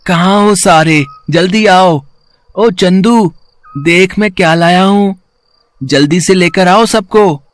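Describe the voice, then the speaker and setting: A man's voice: sits high, takes it slowly. A man voicing a humble, good-hearted poor working boy in a cartoon story, polite and earnest. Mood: excited. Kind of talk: acted cartoon story dialogue.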